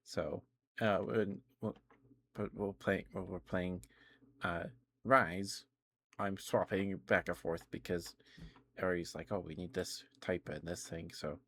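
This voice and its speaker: A man's voice: deep voice, fairly even intonation, slow, fairly bright tone. A man live streaming casual, rambling ASMR chat about games, often hesitating and correcting himself.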